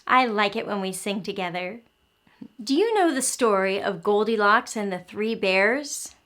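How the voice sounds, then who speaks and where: A woman's voice: mid-range voice, lively intonation, unhurried, fairly bright tone. A woman hosting an interactive read-aloud for young children, telling the story expressively and explaining as she goes. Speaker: host of children's early learning show.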